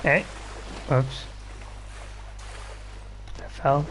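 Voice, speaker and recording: A man's voice: fairly high pitch, steady tone, drawn-out words, fairly bright tone, slight background noise. A man giving casual gameplay commentary in short, offhand muttered reactions. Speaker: gaming channel player.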